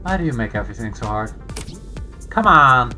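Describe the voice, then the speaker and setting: An actor voicing a brash, teasing young joker in a video game, animated and full of slangy exclamations. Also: medium pitch, moderate pace, natural voice. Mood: exasperated. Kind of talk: voiced visual novel dialogue. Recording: game audio with music behind.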